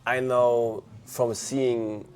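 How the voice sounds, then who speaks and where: A man's voice: medium pitch, flat delivery, drawn-out words, a little mellow. A man hosting a casual podcast interview, talking in a friendly way with frequent fillers. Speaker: podcast host. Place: Leipzig, Germany.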